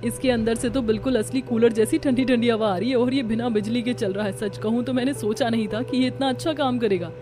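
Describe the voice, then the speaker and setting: A woman voicing a young, fretful daughter-in-law in a family cartoon, with an expressive delivery. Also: on the high side, a little fast, few pauses, lightly acted. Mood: amazed. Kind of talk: Hindi family cartoon acting.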